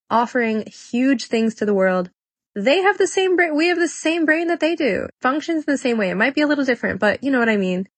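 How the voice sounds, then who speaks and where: A woman's voice: mid-range voice, no echo. A woman hosting a solo podcast, talking earnestly and upbeat with a congested, stuffy voice and frequent fillers. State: congested from a cold. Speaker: podcast host.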